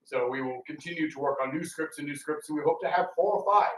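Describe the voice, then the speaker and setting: A man's voice: a little high, quick pace, a bit bright, a little hiss or hum. A man giving an informal live talk as a theatre presenter. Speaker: radio theatre co-founder and presenter. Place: public library room. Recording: echoey room, distant mic.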